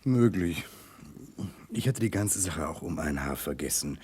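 An actor playing a reserved, troubled prince in a 1960s German radio play, with a hesitant, musing delivery. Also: male voice, low voice, expressive, a little slow, a little mellow, husky, heavily acted. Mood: polite, musing. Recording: 1960s radio drama recording.

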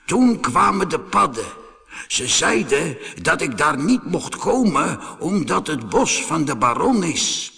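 An elderly woodcutter from a fairy tale, played by a man. He speaks earnestly and kindly, with lively rises and falls and an unhurried pace. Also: on the high side, creaky, long reverb tail, full character voice. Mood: earnest recounting. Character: kindly old male woodcutter, long-imprisoned, hopeful. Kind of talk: storybook character voice.

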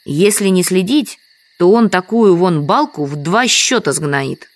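An actress playing a meek, apologetic and quietly stubborn village hostess in an audio drama. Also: female voice, lowish voice, a little slow, dry recording. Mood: quietly insistent. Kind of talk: Russian audio drama dialogue.

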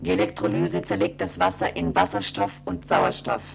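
A woman playing an impassive space station computer in a German radio play, reciting instructions in a gravelly, deadpan drone. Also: a bass voice, normal speed, slight background noise. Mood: impassive, procedural. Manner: deadpan, bureaucratic, methodical.

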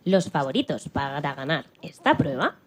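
Very animated woman storyteller. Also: low voice, normal speed. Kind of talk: dramatised children's story narration.

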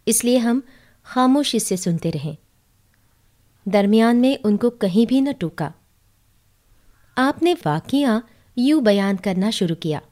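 A woman's voice: fairly high pitch, warm tone. A woman narrating a literary short story in the first person, audiobook style.

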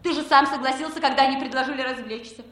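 An actress playing a defensive, indignant wife in an echoey 1960s Soviet radio play, arguing plaintively. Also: female voice, high-pitched, fairly even intonation, fast, a bit bright. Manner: plaintive, argumentative.

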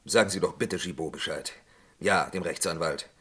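An actor playing an accused lab worker in a vintage radio crime drama, formal, hesitant and protesting. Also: male voice, low-pitched, normal speed, a bit bright. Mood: indignant, shaken. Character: accused male lab worker, defensive and evasive. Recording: roomy old radio play recording.

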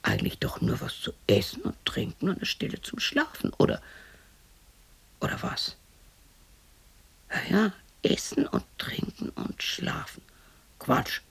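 An actress playing a lonely, bitter widow of sixty in a radio play, talking to herself in a husky, creaky voice that often drops to a whisper, scolding and questioning herself. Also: female voice, extremely low pitch, huge pitch swings, measured pace, pauses often, heavily acted. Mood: brooding, self-scolding. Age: 60s. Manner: talks to herself, scolding and questioning, abrupt shifts.

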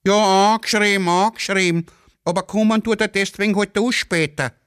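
A man's voice: sits high, steady tone, normal speed, fairly bright tone, clean tone, dry recording, clean studio sound, voice pushed up. A man voicing a muddled, evasive Viennese railway porter who grumbles long-winded excuses in dialect. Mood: shrugging.

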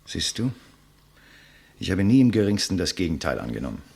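Proud, theatrical writer with sweeping pitch. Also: male voice, sing-song, brisk, a little mellow, breathy edge, heavily acted. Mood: resigned, scornful. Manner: theatrical, eloquent, sardonic. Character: proud, defiant male writer facing his executioner. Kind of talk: 1950s German radio play acting.

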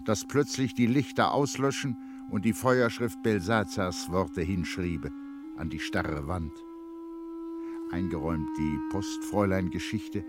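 Deep-voiced man narrating a radio play. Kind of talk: literary scripted narration.

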